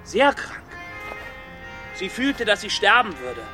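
An excitable fairy-tale collector in a children's radio play. His voice sits high, with a flustered, halting and coaxing manner. Music plays clearly behind him. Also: heavily acted. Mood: storytelling. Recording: music under the voice.

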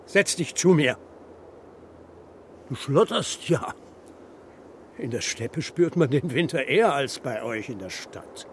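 A man's voice: medium pitch, animated, slow pace, pauses often, slight background noise. An actor playing a stern, brusque old grandfather of the steppe in a radio play. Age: older. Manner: brusque, commanding.

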